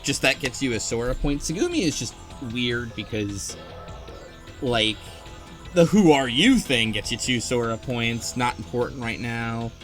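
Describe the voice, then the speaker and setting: A man's voice: mid-range voice, a bit bright. A man hosting a casual group discussion of a game, rambling as he thinks aloud. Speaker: podcast host.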